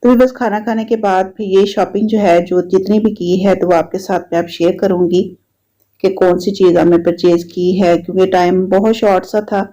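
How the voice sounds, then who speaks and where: A woman's voice: lowish voice, normal speed. A woman with a Pakistani Urdu accent and a slightly sore throat, chatting casually as she narrates her home video diary. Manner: chatty, repetitive, relaxed storytelling. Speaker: vlogger. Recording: dull lo-fi sound.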